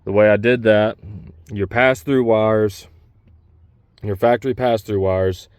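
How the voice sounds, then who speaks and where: A man's voice: fairly low pitch, fairly even intonation, unhurried, clean tone, no echo. A man giving a casual, unscripted DIY walkthrough, talking plainly and pointing things out as he works. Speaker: DIY vlogger demonstrating a truck CB radio install.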